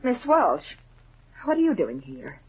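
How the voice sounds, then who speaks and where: Dramatic, anxious radio-melodrama wife. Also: female voice, on the high side, wildly swooping pitch, measured pace, noisy background. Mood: indignant, alarmed. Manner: dramatic, pleading, indignant when crossed. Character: anxious, suspicious, well-mannered wife of invalid husband. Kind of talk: 1950s radio melodrama acting. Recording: dull, lo-fi vintage 1950s radio recording.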